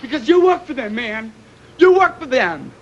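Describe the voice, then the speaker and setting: A man's voice: extremely high pitch, lively intonation, moderate pace, lo-fi sound. An actor playing an unhinged, accusing male terrorist in a TV drama, rambling in frantic, disjointed repetitions, on noisy news-footage sound. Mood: angry, rambling.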